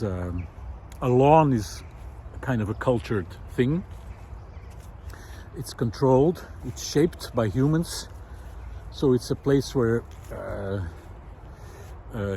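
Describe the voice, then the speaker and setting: A man speaking in a reflective, unscripted explainer style, hesitant and thoughtful, often pausing mid-sentence as he presents. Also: mid-range voice, a little mellow. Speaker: presenter of a nature and philosophy video series. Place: outdoors in a park. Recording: outdoor recording with clear background noise.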